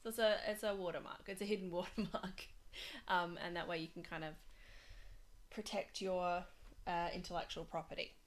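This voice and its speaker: Chatty woman with a low, rambling voice. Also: steady tone, normal speed. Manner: chatty, rambling, many fillers and restarts. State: losing her voice. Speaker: design tutor. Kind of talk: casual screen-share tutorial.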